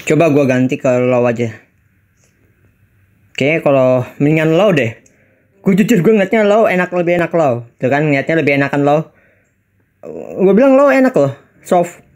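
A man's voice: fairly high pitch, lively intonation, fairly quick, long pauses, a little mellow. An Indonesian man with an Indonesian accent doing casual, reactive gameplay commentary, mixing slang with exclamations. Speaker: gaming YouTuber.

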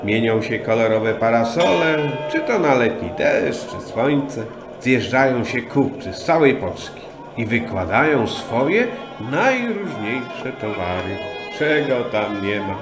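A man narrating a children's audio story in an expressive, storytelling way. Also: medium pitch, slow pace, hardly any pauses. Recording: roomy sound with music behind.

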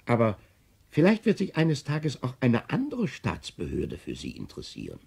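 An actor playing an officious, smug, probing tax assessor in a 1970s German radio play. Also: male voice, medium pitch, wildly swooping pitch, normal speed, vocal fry, darkish tone, breathy edge, full character voice. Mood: insinuating.

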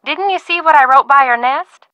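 A woman playing a fussy, genteel ranch aunt in a video game, sweetly reproachful with drawn-out endearments. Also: high-pitched, sharp tone, no room sound, lo-fi sound. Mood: disappointed, scolding. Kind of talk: game dialogue acting.

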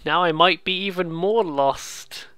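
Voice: mid-range voice, unhurried, fairly bright tone, clear, resonant tone, no echo, soft background music. A British let's player giving casual, rambling, amused commentary on a visual novel, with some swearing. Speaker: let's play host.

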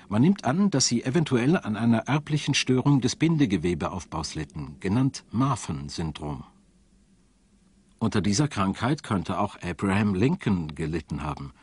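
A man narrating a scripted documentary in a measured, solemn storytelling manner. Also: lowish voice, a little mellow, breathy edge. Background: background music.

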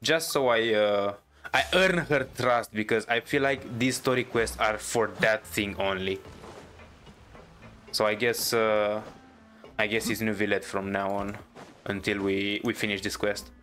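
A man's voice: lowish voice, steady tone, measured pace, sharp tone. A man giving casual let's play commentary as a gaming streamer, talking off the cuff. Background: video game music.

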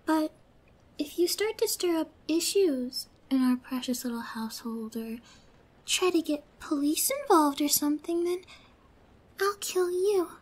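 A woman playing a young, obsessed, possessive girlfriend in an ASMR roleplay, sweet yet menacing, with a soft girlish voice and a coy, drawn-out delivery. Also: sits high, animated. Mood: quietly menacing. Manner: slow, coy, drawn-out, slipping into whispers.

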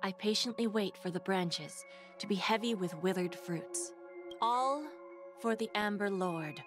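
A woman voicing an elegant, seductive schemer in a video game, poised and deliberate, with music behind. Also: mid-range voice, natural voice. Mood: poised, ominous.

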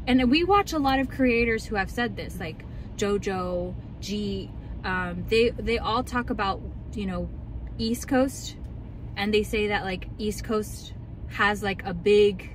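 A woman's voice: mid-range voice, slow pace, a little hiss or hum, rough recording. A young woman vlogger chatting and ranting casually in a car, full of likes and ums. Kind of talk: casual chat.

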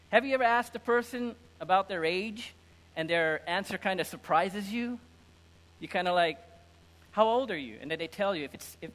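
Higher-voiced man preaching in an echoing hall. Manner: animated, informal, acts out imagined dialogue. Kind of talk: conversational sermon with humour. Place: indoor church stage with lectern and screen. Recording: reverberant hall sound.

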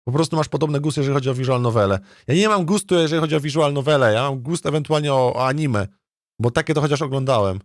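A man's voice: medium pitch, quick pace, no echo, clean studio sound. A man chatting casually as a game streamer, thinking aloud in a hesitant, rambling way and often repeating his words. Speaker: streamer, let's play host.

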